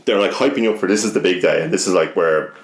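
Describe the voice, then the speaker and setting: A young Irish man with a slightly low voice tells a casual story at a quick clip. He swears freely and keeps breaking off his own sentences. The room is echoey, with some faint noise behind him. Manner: sweary, rambling, self-interrupting. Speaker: podcast guest telling a personal story.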